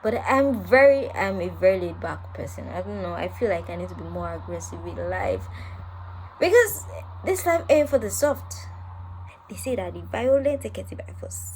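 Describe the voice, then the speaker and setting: A woman's voice: mid-range voice, big pitch swings, normal speed, a little mellow, a little hiss or hum, low-quality recording. Playful young woman rambling to camera. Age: young adult. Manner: playful, rambling and self-mocking. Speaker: vlogger. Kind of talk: casual monologue to camera. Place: her room in the evening.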